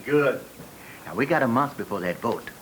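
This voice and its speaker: A man's voice: mid-range voice, animated, moderate pace, crowd noise, lightly acted. An older American man playing a kindly, encouraging retired schoolteacher in a scripted educational film, explaining things patiently. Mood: earnest, encouraging. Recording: faintly noisy 1980s 16mm film soundtrack.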